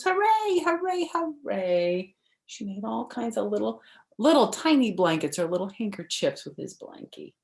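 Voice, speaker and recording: An older woman reading a picture book aloud to children, expressive and deliberate, with friendly asides to young listeners. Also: mid-range voice, vocal fry. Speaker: storyteller for children. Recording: echoey room.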